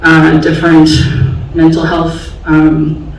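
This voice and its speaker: A woman's voice: sits low, monotone, slow pace, gravelly. A woman in her forties from the Bay Area, a special education teacher telling her own story candidly to an audience, with many fillers. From San Francisco Bay Area, US. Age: 40s. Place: large auditorium stage. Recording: echoey hall from far off, faint noise.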